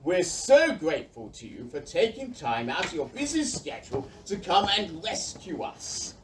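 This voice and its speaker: A woman's voice: on the low side, sing-song, normal speed. Woman as a wildly swooping, flustered village spokesperson. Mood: deferential. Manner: hesitant, formal, fussy. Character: flustered, deferential village spokesperson welcoming a knight. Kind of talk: children's audio play acting. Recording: distant microphone, background noise.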